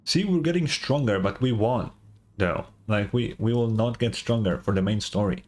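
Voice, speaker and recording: A man's voice: on the low side, normal speed, a little mellow, a little hiss or hum. A man doing casual live gameplay commentary as a streamer, talking in an informal, slangy, wry way. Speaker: game streamer.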